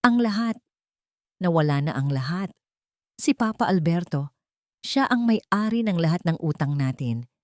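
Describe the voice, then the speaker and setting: A woman voicing a frightened, regretful young man speaking softly in an audio story. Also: lowish voice, lively intonation, unhurried, pauses often, no room sound, clean studio sound. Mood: remorseful. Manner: quiet, regretful. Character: young adult son, remorseful and frightened.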